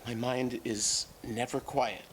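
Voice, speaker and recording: A man in a staged play reading, playing a troubled, evasive brother who hears voices, speaking in a halting, repetitive, disjointed way. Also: medium pitch, level tone, noisy background. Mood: agitated, distracted.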